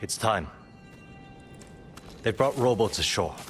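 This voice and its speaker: An actor playing a brash, wry seafaring warrior captain who talks bluntly and teasingly in a video game drama. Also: male voice, fairly low pitch, lively intonation, takes it slowly, fairly bright tone, faint music behind. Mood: gruff, confident.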